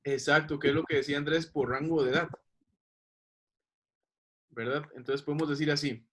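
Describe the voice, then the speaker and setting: Man, teacher, patient explaining, fairly high voice. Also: fairly even intonation, normal speed, a little hiss or hum. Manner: patient, checks understanding with questions. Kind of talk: explaining to a class.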